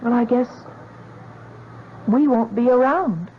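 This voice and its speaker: High-voiced, troubled heroine. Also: female voice, takes it slowly. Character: troubled, evasive, soft-hearted woman with a secret. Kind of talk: 1970s radio drama acting. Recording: muffled, hissy old radio broadcast.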